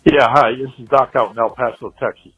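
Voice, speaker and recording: A man's voice: medium pitch, steady tone, brisk, husky, no echo. A man leaving a casual sports observation as a caller on a phone voicemail. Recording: tinny dry phone line, faint music.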